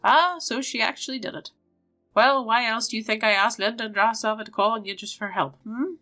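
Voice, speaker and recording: A woman's voice: on the high side, fairly quick, sharp tone, faint music behind. A woman voicing a cryptic, motherly old witch in a video game, with a coaxing, mysterious storyteller's manner. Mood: cryptic, knowing.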